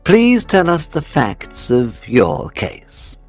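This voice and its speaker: A sharp, self-assured Victorian detective, voiced by a man, laying out his deductions slowly and with lively rises and falls. He sounds a touch impatient, with faint music under the storybook reading. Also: on the high side, voice pushed up, heavily acted. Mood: crisp. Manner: measured, reasoning aloud, a little impatient.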